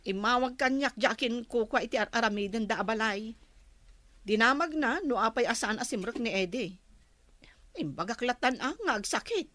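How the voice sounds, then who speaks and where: A woman's voice: a little high, expressive, normal speed, a bit bright, no echo. A woman playing a weary, complaining wife and mother with a Filipino accent, plaintive in a radio drama. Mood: worried.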